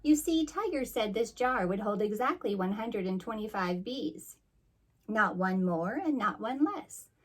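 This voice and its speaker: A woman's voice: mid-range voice, lively intonation, unhurried. A woman voicing a small, clever female storybook rabbit, coy and self-assured.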